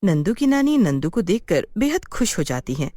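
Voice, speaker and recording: A woman's voice: mid-range voice, sing-song, normal speed, dark tone, no echo, studio quality. A woman narrating a scripted children's story.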